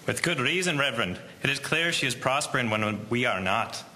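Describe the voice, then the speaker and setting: A man's voice: medium pitch, expressive, a little fast, sharp tone, a little hiss or hum. A man playing a scolding, superstitious farmer and husband in a historical drama.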